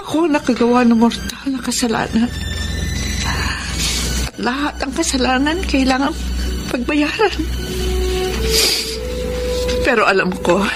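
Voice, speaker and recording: A woman's voice: sits high, measured pace, pauses often, poor audio. A woman playing a remorseful middle-aged mother in a Filipino radio drama, plaintive and pleading, with music behind her. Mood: subdued, remorseful.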